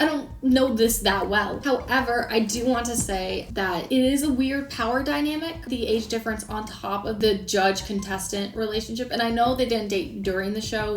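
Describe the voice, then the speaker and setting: A woman's voice: on the high side, roomy sound. A young woman, a little sick, chatting through pop culture gossip for her vlog with giggles and rambling asides. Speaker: commentary youtuber.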